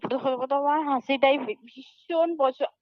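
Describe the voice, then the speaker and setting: Very high-pitched young Indian Bengali woman. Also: steady tone, unhurried. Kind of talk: intimate casual phone chat. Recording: muffled, faintly noisy phone call.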